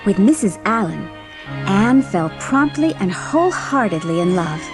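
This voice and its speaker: A woman's voice: mid-range voice, big pitch swings, a little slow, music in the background. A woman reading scripted storybook narration as the narrator of a dramatised audiobook.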